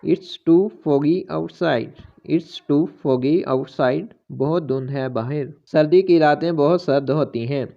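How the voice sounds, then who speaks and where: A man teaching English phrases, saying each one slowly twice between the Hindi versions. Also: fairly high pitch, vocal fry, mellow, highs cut off. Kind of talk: slow repeated phrase drills.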